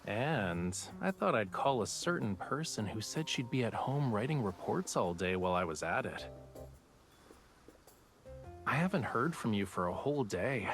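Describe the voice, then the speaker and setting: A man's voice: fairly low pitch, very animated, moderate pace, soft background music. An actor playing a playful young male love interest in a romance game, talking in a coy, teasing drawl.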